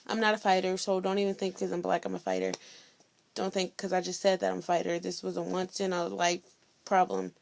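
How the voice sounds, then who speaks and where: A teenage girl from Indiana telling a story in a blunt, sassy, chatty way, loud-voiced and full of slang. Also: fairly low pitch, lively intonation, fairly quick, creaky, slight background noise. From Indiana, USA. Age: teens. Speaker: vlogger. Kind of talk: casual storytelling vlog.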